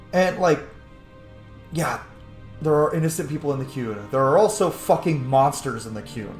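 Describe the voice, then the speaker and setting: Very animated male gamer in an echoey room. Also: on the high side. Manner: musing, emphatic, sweary. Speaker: gamer, host of a roleplay playthrough. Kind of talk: casual game commentary. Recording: echoey room, faint music behind. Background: faint game music.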